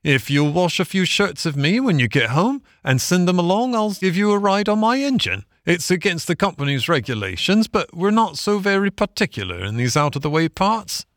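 A man plays a burly, kindly storybook engine driver. The voice is lifted well up in pitch, folksy and plain-spoken, like a friendly working man, and recorded dry. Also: big pitch swings, normal speed, hardly any pauses, fairly bright tone, no echo, full character voice. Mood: kindly, chatty. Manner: folksy, plain-spoken, rustic turns of phrase. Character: burly, good-natured working-class male engine driver.

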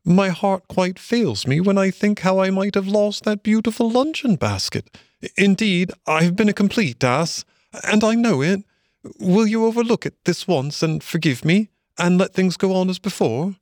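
A man's voice: sits high, animated, normal speed, dry recording, clean studio sound, voice pushed up. A man voicing a young male mole in a storybook, eager and impulsive, with an earnest, pleading manner. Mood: remorseful, emotional. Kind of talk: storybook character voice.

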